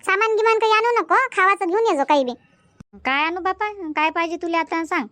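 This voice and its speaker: A woman's voice: very high voice, fairly quick, pushed and bright, no echo. A woman voicing a chatty village mother in a cartoon comedy, speaking colloquial Varhadi Marathi in a homely way. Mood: pleading, insistent. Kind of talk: cartoon comedy dubbing, everyday family chat.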